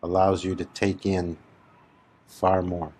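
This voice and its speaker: Male meditation guide: deep register, unhurried delivery, deliberate phrasing with long gaps. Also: little pitch movement, dark tone. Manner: measured, deliberate, with long pauses between short phrases. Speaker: spiritual teacher leading a guided meditation. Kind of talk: slow guided meditation talk.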